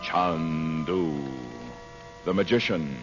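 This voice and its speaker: A man's voice: a bass voice, extremely slow. A man announcing and narrating in a formal, emphatic old-time radio style. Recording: muffled old radio recording. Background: loud music.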